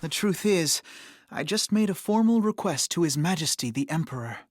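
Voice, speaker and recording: An actor playing a proud, soft, artistic young prince in an English anime-dub audio drama, polished and genteel. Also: male voice, a little high, expressive, normal speed, dry recording. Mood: reflective.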